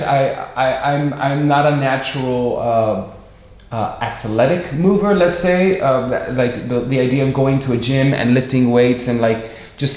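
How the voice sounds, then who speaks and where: A man in his forties with an American accent, chatting reflectively as an interview guest, halting and repeating words as he searches for them. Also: mid-range voice, darkish tone. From Miami, United States. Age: 40s. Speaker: interview guest, performer and director. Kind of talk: casual reflective chat. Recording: echoey room.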